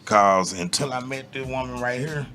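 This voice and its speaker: A raspy-voiced man, telling stories animatedly in a casual podcast chat. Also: medium pitch, moderate pace. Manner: animated storytelling, slangy. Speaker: podcast co-host, rapper.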